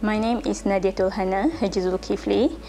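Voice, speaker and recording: Young female interviewee, Bruneian accent, very even intonation, hesitant. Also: mid-range voice, a little fast, poor audio. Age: young adult. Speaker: interviewee, trainee. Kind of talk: interview answers. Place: indoor office room.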